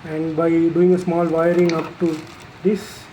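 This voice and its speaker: Tutorial presenter: unhurried, halting, plain-spoken, soft mellow timbre, walking through hardware off the cuff. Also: medium pitch, flat delivery, slight background noise, rough recording. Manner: halting, pausing mid-phrase, matter-of-fact. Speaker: installer presenting a product tutorial. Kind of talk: unscripted product demonstration, explanatory.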